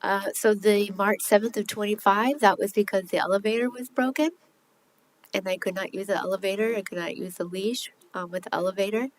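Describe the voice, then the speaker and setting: A woman's voice: mid-range voice, brisk, poor audio. A woman answering questions at a city hearing, hesitant and a little defensive, with many ums. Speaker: respondent at a hearing.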